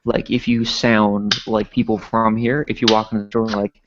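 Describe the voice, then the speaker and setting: A man's voice: lowish voice, level tone, normal speed, dull audio, noisy background. A man with a Newfoundland accent, co-hosting a casual online talk show and rambling with many filler words. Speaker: co-host. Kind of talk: casual podcast chat.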